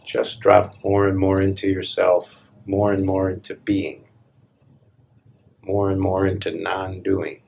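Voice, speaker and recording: Male meditation teacher, very slow, even intonation, short calm phrases, long pauses. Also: sits low, gravelly, slightly rough. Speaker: spiritual teacher leading a guided meditation. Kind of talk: live guided meditation, slow hushed instruction.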